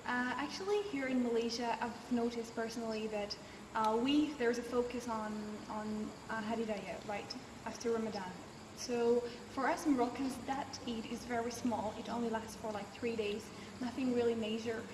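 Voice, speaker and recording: A woman's voice: on the high side, far from the mic. Woman in her twenties, echoey hall. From United States. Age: 20s. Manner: relaxed, often pauses with uh. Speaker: interviewee, university student. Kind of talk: casual explanatory interview answers. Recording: echoey hall from afar, background noise.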